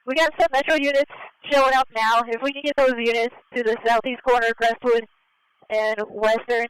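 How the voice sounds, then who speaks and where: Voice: mid-range voice, fairly bright tone, clear, resonant tone, poor audio. A voice speaking over police radio, heard on a scanner.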